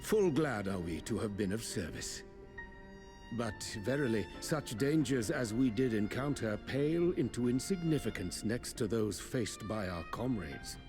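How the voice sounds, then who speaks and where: An actor playing a courteous, scholarly adviser in a fantasy game, speaking in formal, measured, archaic phrases. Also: male voice, medium pitch, animated, close to an everyday voice. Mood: grave, formal. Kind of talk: fantasy video game voice acting. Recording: orchestral music behind the voice. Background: orchestral game music with strings.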